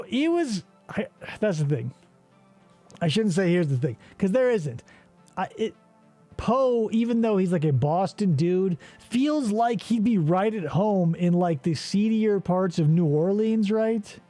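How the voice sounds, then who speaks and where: A man's voice: high-pitched, lively intonation, a little slow, warm tone, soft background music. A man hosting a casual comedy game show, rambling and sarcastic with profane asides.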